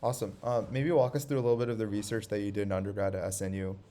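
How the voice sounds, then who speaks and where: A man's voice: on the low side, flat delivery, rapid-fire, mellow. A man hosting a podcast, asking questions in a casual interview style and often murmuring along while the guest talks. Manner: frequent backchannel listening sounds. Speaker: podcast host.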